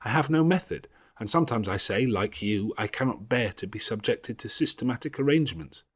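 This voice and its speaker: A man as a grave, patient schoolgirl, slightly husky. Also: on the low side, lively intonation, dry recording, clean studio sound, voice pushed up. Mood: grave, self-reproachful. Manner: measured, earnest, moralising. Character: patient, devout, bookish older schoolgirl, gravely self-critical. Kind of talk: audiobook character voice.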